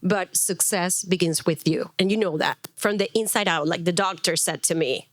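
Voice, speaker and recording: A middle-aged woman, a native Spanish speaker, introducing herself to a group as a wellness coach in a chatty, animated, self-deprecating way. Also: on the low side, normal speed, dry recording. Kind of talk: informal spoken introduction before a live group.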